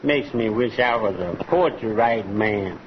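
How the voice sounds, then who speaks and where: A man's voice: lowish voice, measured pace, lightly acted. A man playing a simple, good-natured cowboy sidekick in an old-time radio western, folksy and bashful in manner. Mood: admiring. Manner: folksy, bashful, rustic turns of phrase. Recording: dull, lightly noisy old radio recording.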